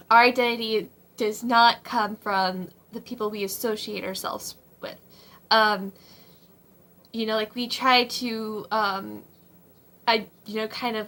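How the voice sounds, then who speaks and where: A young woman in her twenties, slightly hoarse, giving casual advice from her home kitchen in a rambling way, with many ums and likes. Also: medium pitch, a bit bright. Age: 20s. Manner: rambling, full of ums and likes, frequent laughs. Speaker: vlogger. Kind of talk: casual faith-based advice vlog.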